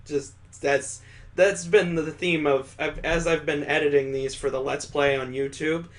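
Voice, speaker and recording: A man's voice: fairly high pitch, steady tone, unhurried, fairly bright tone. A man hosting a let's play, reading a visual novel aloud and adding sarcastic joking asides.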